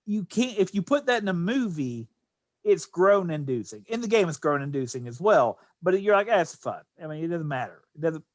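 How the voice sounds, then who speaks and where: A man's voice: a little high, expressive, a little fast, no room sound, studio quality. A middle-aged man chatting casually and opinionatedly about films as a podcast co-host, rambling as he goes. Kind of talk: casual film chat.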